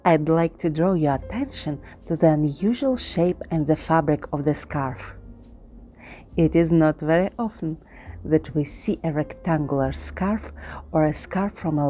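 Low, mellow-voiced woman tutorial host. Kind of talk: tutorial voice-over with history. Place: indoor studio with painted backdrop. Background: soft background music.

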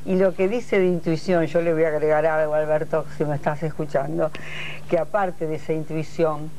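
A man's voice: fairly high pitch, flat delivery, moderate pace, darkish tone, a little hiss or hum. A man speaking emphatically in a studio panel discussion, piling up points. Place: television studio.

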